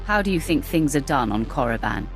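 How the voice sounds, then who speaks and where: Cold Sith warrior with swooping pitch. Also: female voice, lively intonation, brisk, soft background music. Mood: cold resolve. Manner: terse, imperious. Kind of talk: dramatic video game acting.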